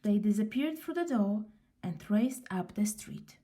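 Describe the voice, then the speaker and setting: Woman, children's storyteller, lively swooping pitch, mellow tone, expressive, unhurried. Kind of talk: children's story read aloud.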